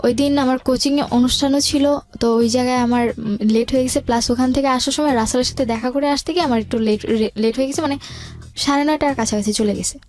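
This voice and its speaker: A woman's voice: high voice, steady tone, fast, a little mellow. A young woman with a Bangladeshi accent tells a personal story conversationally as a guest, colloquially and with some English words mixed in. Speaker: guest telling life story. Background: background music.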